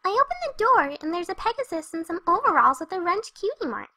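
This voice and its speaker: A hyperactive young female cartoon pony, extremely high and very animated. She chatters cheerfully and breezily to the listener, scatterbrained and party-loving, in a dry, close recording. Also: brisk, no room sound, full character voice. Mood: excited, chatty. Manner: bubbly, chatty, breezy narrating straight to the listener. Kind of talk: fan fiction audio reading in cartoon character.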